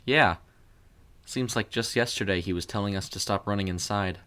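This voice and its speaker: A man voicing a grieving, wistful sister in an audio story, speaking in a hesitant, halting way. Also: on the low side, pushed and bright, clean tone. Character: grieving young woman, wistful sister reminiscing.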